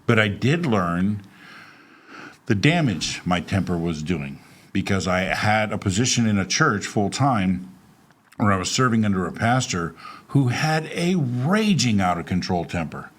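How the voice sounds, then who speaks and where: Man, lowish voice, casual guest storyteller, animated, self-deprecating. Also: unhurried. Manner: animated, self-deprecating, laughs while telling stories.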